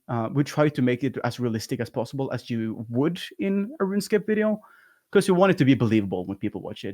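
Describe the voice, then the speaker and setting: A man's voice: medium pitch, darkish tone. A man with a light voice, a talkative guest in a casual interview who rambles and laughs often. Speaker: guest, YouTube content creator.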